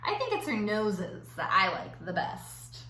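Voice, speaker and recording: A woman with a bright, forceful voice reads aloud to children like a playful teacher. Her pitch sits fairly high and swings widely as she stretches words for emphasis and drops in chatty asides. The room sounds very echoey. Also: huge pitch swings, unhurried. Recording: roomy echo with faint background noise.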